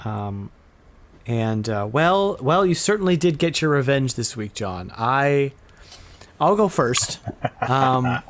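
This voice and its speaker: Guy hosting a retro gaming podcast, medium pitched voice, loose casual chat. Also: lively intonation, takes it slowly, a little hiss or hum. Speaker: podcast host. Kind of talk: casual chat about retro video games.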